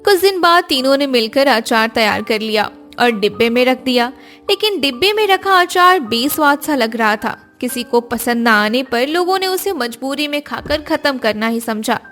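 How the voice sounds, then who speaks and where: A woman's voice: high voice, expressive, moderate pace, a bit bright, faint music behind. A woman narrator reading scripted story narration.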